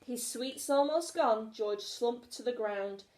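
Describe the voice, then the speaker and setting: A woman's voice: on the high side, takes it slowly, a little mellow. A woman teacher reading a children's story aloud expressively, recorded in an echoey room.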